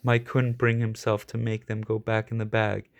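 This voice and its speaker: Gravelly man reading a children's story. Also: on the low side, monotone, normal speed. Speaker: storybook reader. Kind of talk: expressive children's story read-aloud.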